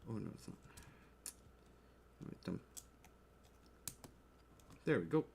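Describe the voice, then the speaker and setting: A chatty man talks casually on a livestream, often asking his viewers how things sound. His voice sits a little low and comes through a desk mic. Faint keyboard clicks and typing run underneath. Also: sing-song, slow pace, creaky, a little mellow, slight background noise. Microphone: desk mic close to the keyboard.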